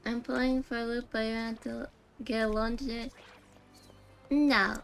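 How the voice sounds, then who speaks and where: A woman's voice: fairly high pitch, fairly even intonation, a little slow, vocal fry, soft background music. A woman livestreaming a game, chatting casually and ramblingly with her viewers. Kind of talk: casual livestream chat while gaming.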